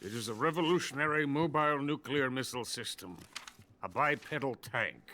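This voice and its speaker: An actor playing a drunk, boastful older Soviet weapons scientist in a video game cutscene. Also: male voice, mid-range voice, brisk, sharp tone, a little hiss or hum, natural voice. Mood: bitter, scheming. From Soviet Union. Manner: pompous, self-important, bitter.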